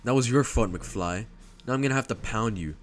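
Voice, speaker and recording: A man's voice: medium pitch, a little fast, close to an everyday voice. A man playing a swaggering teenage bully in a motion comic, blunt, taunting and threatening. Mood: menacing. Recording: music behind the voice.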